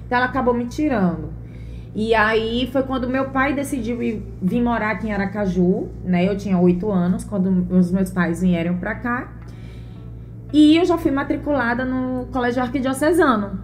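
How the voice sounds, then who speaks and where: A woman's voice: on the high side, moderate pace, faint music behind. Earnest woman, thoughtful interview guest. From Bahia, Brazil. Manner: thoughtful, many fillers and restarts, earnest. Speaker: interview guest, mother and former gymnast. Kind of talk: relaxed interview conversation.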